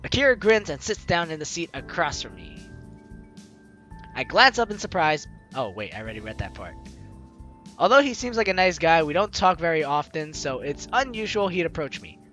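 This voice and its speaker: Casting: male Let's Play host, bright high voice, jokey and theatrical, music faintly underneath. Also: big pitch swings, moderate pace. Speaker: gaming video host. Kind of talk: playful Let's Play commentary and dramatic read-aloud.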